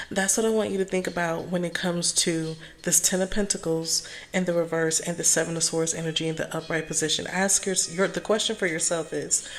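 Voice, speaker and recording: A hoarse woman speaking calmly and softly as she gives a casual tarot reading. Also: on the low side. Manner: calm, soft-spoken, chatty. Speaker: tarot reader.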